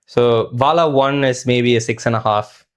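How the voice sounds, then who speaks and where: A man's voice: mid-range voice, normal speed, clear, resonant tone. A man co-hosting a conversational film review, thinking aloud in a hesitant, thoughtful way with the odd mild swear word.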